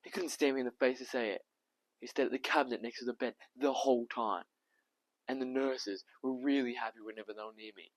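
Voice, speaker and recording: A man playing a bitter, frank, sick young boy in an emphatic, halting stage monologue. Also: medium pitch, steady tone, pitched above the performer's own voice, natural voice. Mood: bitter, angry. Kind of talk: dramatic stage audition monologue. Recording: dull, roomy echoing sound.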